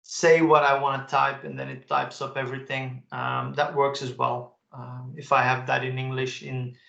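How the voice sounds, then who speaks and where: Gravelly man demoing software. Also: medium pitch, flat delivery, moderate pace. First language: Dutch. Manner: casual, enthusiastic, frequent "uh" fillers. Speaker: webinar presenter. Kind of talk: live software demo walkthrough. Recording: roomy echo.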